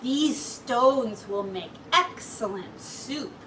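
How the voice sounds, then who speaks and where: A woman's voice: fairly high pitch, animated, drawn-out words, a bit bright, heavily acted. A woman reading the part of a wise, kindly old monk in a children's storybook. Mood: gently persuasive. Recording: distant mic with faint background noise.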